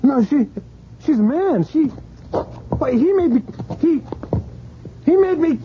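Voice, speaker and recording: A woman's voice: high-pitched, expressive, slow pace. A woman playing a desperate victim of a devil's bargain in a radio drama. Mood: terrified. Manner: frantic, pleading, then screaming. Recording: lo-fi radio recording with noise.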